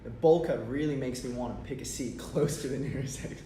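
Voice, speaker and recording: A man's voice: medium pitch, a little fast, dark tone, close to an everyday voice. A man reading the part of a cocky, sarcastic schoolboy goading his friend in a stage play table read. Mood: teasing. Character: cocky teasing teenage schoolboy, pushy best friend. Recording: roomy distant mic, faint noise.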